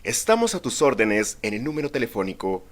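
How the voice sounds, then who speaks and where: A man's voice: mid-range voice, big pitch swings, fairly quick. A man reading a scripted advertising voice-over. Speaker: commercial announcer.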